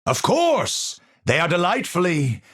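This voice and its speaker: An actor playing an eccentric, troubled genius physicist in a video game, a breathy voice and formal, precise, theatrical speech. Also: male voice, on the high side, wildly swooping pitch, a little slow, a bit bright, full character voice. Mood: musing, unsettled. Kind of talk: video game character voice lines.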